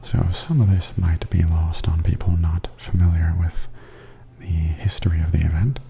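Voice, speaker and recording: Very deep, hushed ASMR man. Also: fairly even intonation, normal speed, creaky. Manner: hushed, often whispery delivery. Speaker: ASMR video host. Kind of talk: soft-spoken ASMR commentary. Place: voice-over added after filming.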